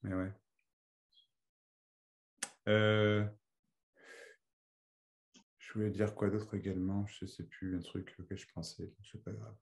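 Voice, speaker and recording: A man's voice: fairly low pitch, flat delivery, slow pace, creaky, long pauses. A man who grew up in Belgium, hosting a video-call meeting and chatting casually, rambling with many restarts. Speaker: meeting host.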